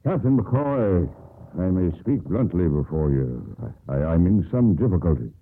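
An actor playing an anxious older sea captain and father, halting and formal, in 1950s radio drama style. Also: low voice, very animated, breathy edge, full character voice. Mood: flustered, formal. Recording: old radio broadcast, narrow band.

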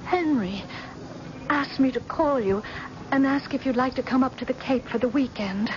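An actress playing an anxious, unhappy wife in a vintage radio drama, with a fretful, pleading way of talking. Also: female voice, high voice, moderate pace, natural voice. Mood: pleading, miserable. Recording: dull, noisy old radio recording.

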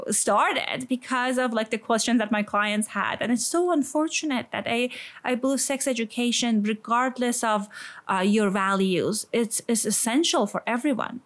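A woman with a Persian accent, a psychologist and sex therapist, answering interview questions thoughtfully, often pausing with "kind of" and "like". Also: fairly high pitch, fairly bright tone. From Iran. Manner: thoughtful, many fillers like "kind of" and "like".

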